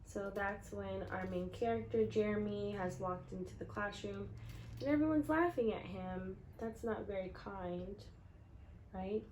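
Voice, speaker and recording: A woman teacher reading a picture book aloud to children expressively, with deliberate, instructive asides and questions. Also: mid-range voice, darkish tone, a little hiss or hum. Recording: echoey room.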